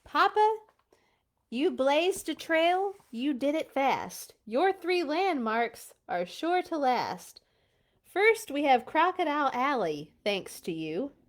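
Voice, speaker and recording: Woman as slow, emphatic scout leader lady. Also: high-pitched, big pitch swings, voice pushed up, full character voice. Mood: proud, approving. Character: encouraging female scout leader bear awarding badges. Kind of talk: animated storybook read-aloud.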